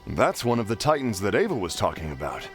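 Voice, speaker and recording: A man playing a wry, worldly adventurer in a video game, self-dramatizing, with droll pauses. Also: fairly low pitch, big pitch swings, music in the background. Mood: informative. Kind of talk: video game cutscene acting.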